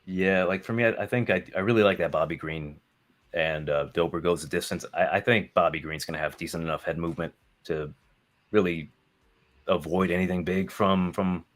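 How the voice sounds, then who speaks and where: A man's voice: low-pitched, fairly even intonation, fairly quick. A man talking as a podcast guest, rambling with lots of fillers and casual swearing. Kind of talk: casual chat.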